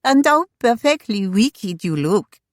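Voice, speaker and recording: A woman's voice: mid-range voice, big pitch swings, slow pace, no echo, clean studio sound, voice pushed up. A woman voicing a flirtatious, playful society lady in a storybook, gushing and exclamatory with a foreign accent. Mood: teasing.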